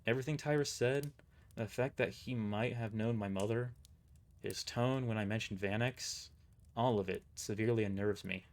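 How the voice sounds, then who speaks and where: A man's voice: fairly low pitch, moderate pace. Man narrating a first-person audio drama.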